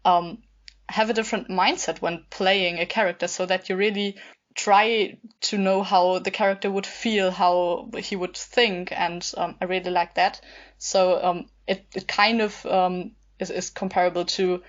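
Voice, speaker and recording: A woman's voice: on the low side, steady tone, unhurried, muffled recording. A young woman from Germany chatting casually as a podcast guest, searching for her words. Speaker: podcast guest, amateur theater group member. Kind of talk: casual chat.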